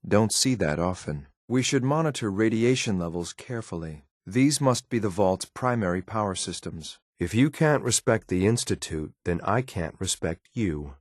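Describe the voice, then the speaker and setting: Low, deadpan synth agent. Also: male voice, no room sound, studio quality. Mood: cool, formal. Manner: clipped, measured, formal, deadpan. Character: stoic, dutiful synth agent, cold and formal adult male. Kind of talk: scripted video game companion lines.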